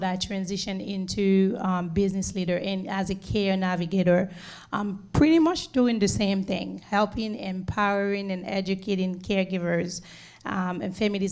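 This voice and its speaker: A woman's voice: mid-range voice, a little mellow. A middle-aged woman from Haiti with a Haitian accent, a nurse giving an earnest community presentation with many "um"s and repeated words. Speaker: nurse and home care leader.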